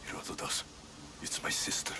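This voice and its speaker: A man playing a hardened young Greek mercenary in a dramatic video game, gruff, terse and intense in his delivery. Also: moderate pace, background noise, close to an everyday voice. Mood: grave, urgent. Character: hardened young Greek mercenary, skeptical, driven to protect family.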